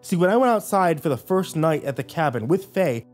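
A man narrating a first-person horror story, hushed and tense, with occasional acted lines. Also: fairly high pitch, expressive, fairly quick, faint music behind.

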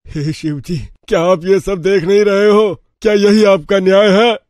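A man voicing a poor, meek village father who pleads in despair in an animated story. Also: sits high, measured pace, no echo, studio quality. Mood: anguished.

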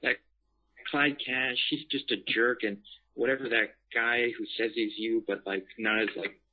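A man's voice: mid-range voice, steady tone, moderate pace. A man chatting casually and asking questions as a caller. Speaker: interviewer, caller. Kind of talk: casual phone chat. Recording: muffled phone line.

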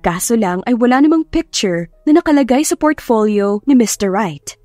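A Filipino woman narrates a scripted love story in a fairly high voice. The sound is close and dry, with music playing behind her. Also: lively intonation, moderate pace, mellow, no room sound. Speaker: audiobook narrator. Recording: background music under narration.

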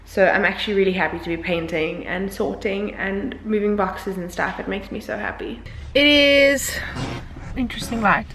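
A woman's voice: mid-range voice, normal speed, fairly bright tone, reverberant, rough recording. Young woman vlogging, camera at arm's length, chatty and upbeat, bit of faint hiss behind. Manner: chatty, upbeat, lots of "like" fillers. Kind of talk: casual vlog chat to camera. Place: empty house rooms and a parked car. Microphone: handheld camera at arm's length.

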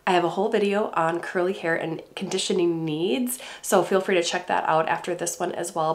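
A middle-aged woman with a congested voice from a cold, calmly explaining her hair care routine to camera at home. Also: fairly low pitch. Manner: calm, gentle, explaining step by step. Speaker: beauty vlogger. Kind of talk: casual talk to camera. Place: home room indoors.

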